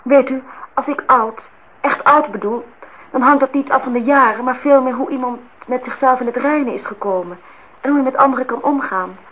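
A woman's voice: high-pitched, normal speed. An actress playing an indignant, disillusioned young woman in a Dutch radio play, outspoken and reproachful as she speaks her mind. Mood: earnest, reproachful. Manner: reproachful, talks in long outpourings. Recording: dull, noisy old radio play recording.

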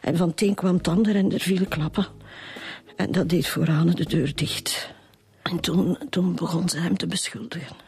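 An actress playing a troubled middle-aged Flemish wife and mother who speaks haltingly in a radio drama. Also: fairly low pitch, steady tone, gravelly, a little mellow, husky, exaggerated voice. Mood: upset, defensive. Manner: halting, repeats words.

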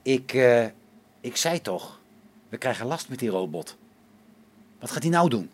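A man's voice: medium pitch, very animated, measured pace, darkish tone. A man playing a hot-tempered, blunt space engineer in a radio play, speaking in a coarse, colloquial way. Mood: exasperated. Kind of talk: amateur science fiction radio play acting.

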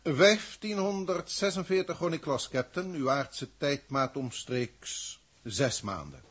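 An actor in a 1960s science-fiction radio drama playing a formal, grandiloquent alien proctor who speaks deliberately and pompously. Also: male voice, fairly high pitch, expressive, a bit bright, husky, exaggerated voice. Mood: solemn. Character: authoritative, grandiloquent alien proctor from a galactic federation.

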